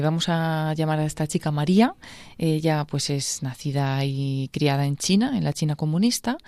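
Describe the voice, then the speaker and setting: A woman co-hosting a religious radio show, gently introducing a guest's story with small hesitations. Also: low-pitched, no echo. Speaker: radio co-host. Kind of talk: introducing a testimony.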